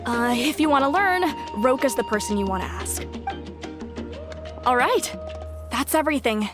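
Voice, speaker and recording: A woman's voice: high-pitched, expressive, moderate pace, music in the background. A woman voicing an eager, slightly flustered and chatty shopkeeper in a video game. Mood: helpful.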